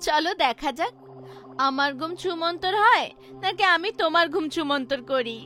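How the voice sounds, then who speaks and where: A woman's voice: very high-pitched, animated, moderate pace, bright, forceful tone, faint music behind, exaggerated voice. A woman playing a young wife in a dramatized horror story. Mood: playful, curious.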